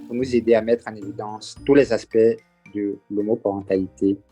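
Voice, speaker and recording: A man hosting a podcast, delivering a clear scripted introduction. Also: lowish voice, steady tone, brisk, mellow, soft background music. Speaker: podcast host.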